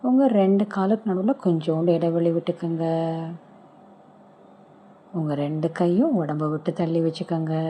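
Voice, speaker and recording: A woman speaks in a low, deeply mellow voice as she guides a relaxation meditation. She moves slowly through gentle, soothing instructions, leaving pauses between them. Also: slight background noise.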